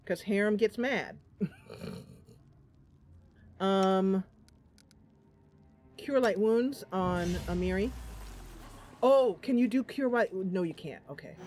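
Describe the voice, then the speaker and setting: A woman's voice: medium pitch, slow pace, long pauses, darkish tone, a little hiss or hum. A woman giving casual, chatty let's play commentary, thinking aloud and reacting as she plays. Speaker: gaming channel host.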